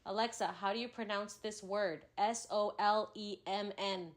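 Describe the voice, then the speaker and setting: A woman co-hosting a podcast in casual chat, animated and teasing, laughing often. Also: mid-range voice, moderate pace. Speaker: podcast co-host.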